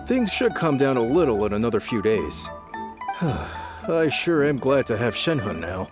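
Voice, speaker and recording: Overworked, expressive working guy. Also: medium pitch, a little slow, music in the background. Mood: weary, relieved. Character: overworked, grateful, mild-mannered working man. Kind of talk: video game voice acting.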